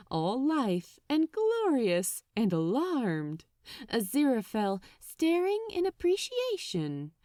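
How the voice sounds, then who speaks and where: Female audiobook-style narrator, slow and deliberate, hugely varied intonation, expressive scripted storytelling, dry close sound. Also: on the high side, no room sound.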